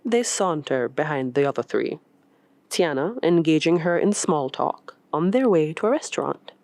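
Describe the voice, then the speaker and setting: A woman reading a short story as scripted narration, in an expressive, measured storytelling manner. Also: deep voice, dry recording. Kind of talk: scripted narration of literary fiction.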